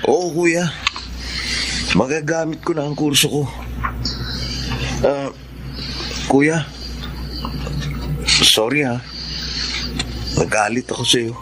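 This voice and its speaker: A man's voice: on the high side, slow, long pauses, background noise. An actor playing an earnest, emotional young man, a younger brother, in a Filipino radio drama. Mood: sincere, apologetic. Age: young adult. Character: hurt, sulky young younger brother, later humbly repentant.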